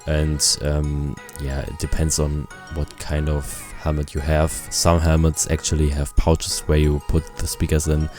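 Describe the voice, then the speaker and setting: A man giving a casual product review, explaining things in simple, halting phrases. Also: a bass voice, monotone, darkish tone, soft background music.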